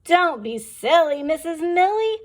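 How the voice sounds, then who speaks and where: A woman voicing a class of young children who correct their teacher, in a high, drawn-out chanting voice. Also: big pitch swings, heavily acted. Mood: giggly.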